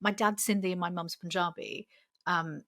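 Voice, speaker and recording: A woman from England hosting a podcast interview, chatty and quick to laugh as she asks questions and shares her own story. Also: lowish voice, fairly even intonation, fairly bright tone. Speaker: podcast host.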